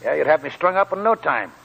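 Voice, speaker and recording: Gravelly, lively old prospector. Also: male voice, fairly high pitch, full character voice, low-quality recording. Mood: teasing, knowing. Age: older. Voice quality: gravelly, cackling. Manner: folksy, chuckling, drawn-out phrasing. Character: wily, cheerful old male gold prospector. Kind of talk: 1940s radio drama acting. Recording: old hissy 1940s radio broadcast.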